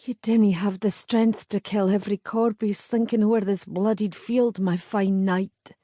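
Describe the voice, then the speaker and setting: A woman reading aloud doing a bold young Scottish woman, firm and commanding with a sharp tongue. Her tone is mellow and close, with a dry sound. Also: medium pitch, fairly even intonation, a little slow, no room sound, lightly acted. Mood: urgent. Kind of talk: audiobook character voice.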